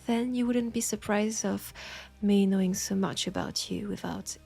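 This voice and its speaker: A woman with a French accent playing a knowing, teasing witch in an intimate roleplay audio drama, with husky, breathy speech and dramatic pauses. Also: medium pitch, fairly even intonation, warm tone, faint music behind. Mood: teasing, curious. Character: knowing, teasing, compassionate witch in a secluded werewolf town.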